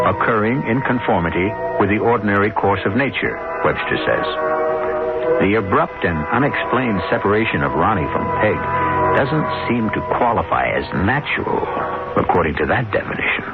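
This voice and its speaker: A man hosting a radio mystery show with ominous scripted narration, measured and wry. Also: sits low. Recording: narrow-band old radio recording. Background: music.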